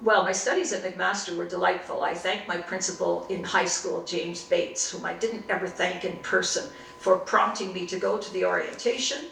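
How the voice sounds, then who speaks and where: Older woman reminiscing, gravelly edges, recorded from across an echoey room. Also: lowish voice, steady tone, fairly bright tone, distant mic, a little hiss or hum. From Canada. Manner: reflective, unhurried storytelling with frequent restarts. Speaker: interviewee. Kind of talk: oral history interview.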